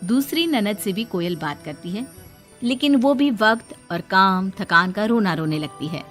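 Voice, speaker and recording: A woman's voice: medium pitch, expressive, measured pace, a bit bright, faint music behind. A woman reading a scripted moral story as its narrator.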